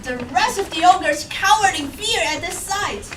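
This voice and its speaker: A woman's voice: extremely high pitch, animated, moderate pace, slight background noise. A woman narrating a comic puppet-show story to a live audience in a playful, theatrical way. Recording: echoey room, distant mic, loud music.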